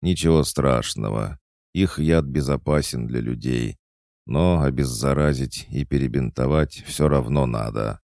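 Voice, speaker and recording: A steady older servant, as a man plays him, reassuring and businesslike. The voice is very deep and gravelly, recorded close with no room. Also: measured pace, no echo, full character voice. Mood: calm. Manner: matter-of-fact, reassuring.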